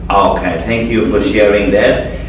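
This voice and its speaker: Guy teacher asking loads of follow-up questions, kinda halting, low voice, echoey room, light hiss. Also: fairly even intonation. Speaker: English teacher, interviewer. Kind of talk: casual classroom interview. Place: classroom. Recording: echoey room, mic far off.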